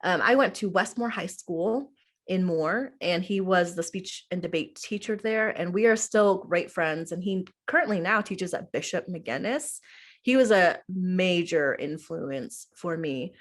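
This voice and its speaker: A woman's voice: on the low side, a little slow. Chatty young woman teacher, lots of "like". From United States. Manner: chatty, heavy use of "like" and "um". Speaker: middle school speech and debate teacher. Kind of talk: casual interview answers.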